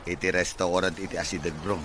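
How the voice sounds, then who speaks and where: A man's voice: low voice, flat delivery, quick pace, noisy background, close to an everyday voice. A man playing a bashful, hesitant bachelor son in an audio drama. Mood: bashful, pleased. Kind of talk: Ilocano audio drama acting.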